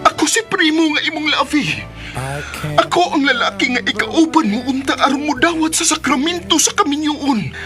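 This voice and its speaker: A woman plays an ardent lover returned from death in a radio melodrama. The high voice declaims vows of devotion with great passion, over clearly audible music. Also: moderate pace, lightly acted. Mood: yearning, solemn. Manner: impassioned, declamatory. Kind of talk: melodramatic radio drama acting.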